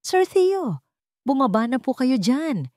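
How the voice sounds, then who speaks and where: Casting: a woman as a fretful, deferential maid in a radio drama, raised high voice, calling out anxiously. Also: sing-song, slow, darkish tone, no room sound, clean studio sound, exaggerated voice. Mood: worried. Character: worried female household helper calling up respectfully to her boss.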